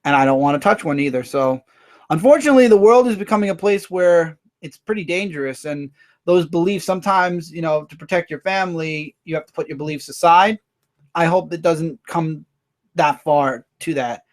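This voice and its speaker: A man hosting a casual livestream, rambling through viewer comments with quick asides. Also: on the high side. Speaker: livestream host.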